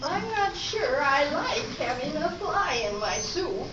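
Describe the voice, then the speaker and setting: Woman acting a fussy, excitable diner, swooping high voice. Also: slow, full character voice. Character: fussy, excitable woman diner complaining about her food. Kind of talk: amateur staged radio comedy play. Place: live stage at an amateur arts festival. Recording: echoey hall from far off, muffled, noisy.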